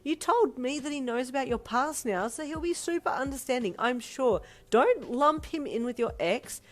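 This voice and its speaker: A woman voicing a blunt, protective, exasperated sister in a story, scolding loudly. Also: sits high, sing-song, brisk, voice pushed up. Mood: exasperated, bossy.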